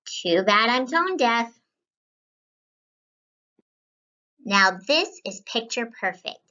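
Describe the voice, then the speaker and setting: Woman playing a young student storybook narrator, wry, playful, bright and forceful, slightly dull recording. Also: fairly high pitch, unhurried. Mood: pleased, punning. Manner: animated, wry, playful asides. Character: whimsical, wisecracking young student painter narrating an adventure. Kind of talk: scripted children's read-aloud.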